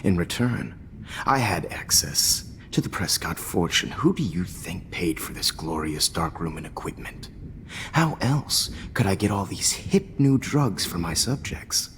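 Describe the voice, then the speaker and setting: A man's voice: low voice, expressive, measured pace, fairly bright tone, slight background noise, full character voice. A man voicing a smug, manipulative photography teacher in a video game, taunting and often whispering. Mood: menacing. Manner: taunting, mocking, drops into whispers.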